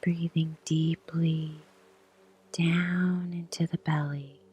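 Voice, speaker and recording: A woman guiding a meditation in a soft whisper, with a slow, soothing delivery and long pauses. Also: low voice, a little hiss or hum. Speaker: meditation guide. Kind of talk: guided meditation.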